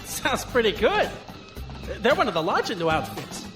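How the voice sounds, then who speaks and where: A woman playing a frank, excitable crewmate in a science fiction audio drama, with a casual, animated delivery. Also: a little high, moderate pace. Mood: curious. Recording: music bed behind the voice.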